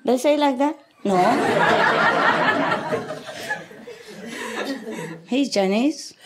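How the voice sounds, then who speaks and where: A woman's voice: sits high, big pitch swings, very slow, very noisy. A woman spiritual teacher chatting casually with a group, teasing them and laughing often.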